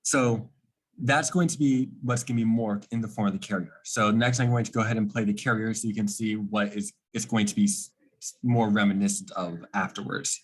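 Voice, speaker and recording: A man with a slightly husky voice giving an informal technical explanation, like a student presenting a project, posing questions and then answering them. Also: on the low side, fairly even intonation, a little fast.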